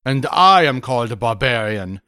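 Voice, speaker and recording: A man acts out a brash barbarian warrior from a fantasy audiobook. He declaims in archaic phrases, loud and blunt. The recording is close and dry. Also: mid-range voice, sing-song, normal speed, clear, resonant tone, no room sound. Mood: gruff, disgusted.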